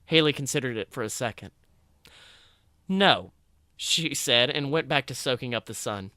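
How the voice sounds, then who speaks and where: A man reading a story aloud expressively, with an animated delivery. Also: medium pitch, fairly quick, dry recording, studio quality. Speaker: narrator reading fan fiction.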